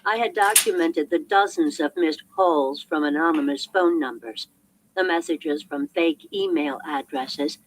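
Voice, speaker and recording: A woman reading as an audiobook narrator in a scripted narration style, heard as a replayed recording. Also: deep voice. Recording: noisy replayed audiobook playback.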